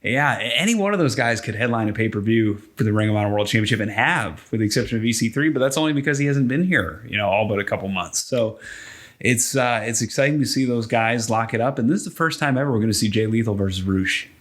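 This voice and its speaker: A man's voice: medium pitch, animated, quick pace, a bit bright. Enthusiastic man chatting on a podcast. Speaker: podcast co-host and wrestling announcer. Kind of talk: casual podcast chat about pro wrestling.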